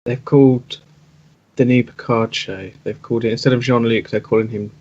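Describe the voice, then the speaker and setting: Muffled lo-fi recording of a husky guy chatting casually on a fan podcast. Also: medium pitch, steady tone, normal speed, a little mellow. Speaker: podcast panelist.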